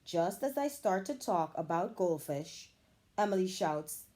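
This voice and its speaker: A woman reads a children's storybook aloud, taking her time over every phrase. Her voice sits a little low but leaps and dips dramatically as she brings the story to life, like a teacher at story time. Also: a little slow. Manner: expressive, animated storytelling.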